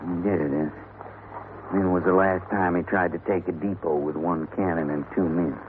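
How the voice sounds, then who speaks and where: A doubtful Western gunman, acted by a man grumbles bluntly in a fairly low voice. The delivery is classic 1950s radio drama, heard on a muffled old broadcast recording with clear background hiss. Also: fairly even intonation, normal speed, lightly acted. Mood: doubtful, worried.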